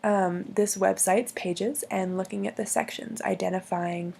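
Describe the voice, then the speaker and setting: Hoarse woman, husky rasp, gravelly, hesitant explainer, frequent ums. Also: medium pitch, steady tone, unhurried, a little mellow. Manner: hesitant, many ums and restarts. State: hoarse from bronchitis. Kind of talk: explaining logical fallacies.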